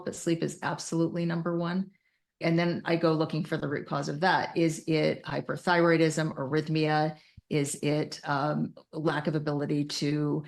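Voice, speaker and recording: A woman's voice: low voice, vocal fry, darkish tone. A woman explaining things as an expert guest, emphatic and detailed, mixing technical jargon with casual wording. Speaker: podcast guest, mental health clinician.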